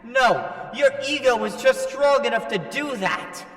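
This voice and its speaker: A man's voice: very high-pitched, lively intonation, a little slow, fairly bright tone, roomy sound, long reverb tail, voice pushed up, exaggerated voice. Man as a fed-up, scolding male angel, raised voice. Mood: scolding, exasperated. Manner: scolding, contemptuous. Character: buff male angel, holy emissary, fed-up and crude. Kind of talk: fan fiction character reading.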